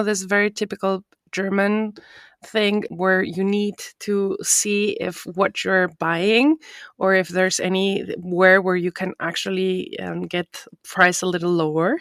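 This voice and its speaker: A woman's voice: mid-range voice, fairly even intonation, measured pace, a bit bright, dry recording. Chatty woman podcast host, dry sound. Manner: friendly, chatty, joking. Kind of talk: hosting an interview podcast.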